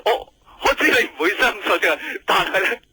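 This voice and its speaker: A man acting an exuberant scientist from the future in a radio drama, with a Hong Kong Cantonese accent and an excitable way of explaining things simply. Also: sits high, moderate pace, breathy edge, no echo, exaggerated voice. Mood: elated. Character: exuberant male scientist calling from twenty years ahead.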